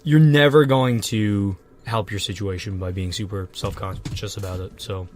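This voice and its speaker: A man co-hosting a podcast, trading deadpan absurd jokes in casual chat, a little congested from a cold. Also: lowish voice, normal speed. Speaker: podcast co-host.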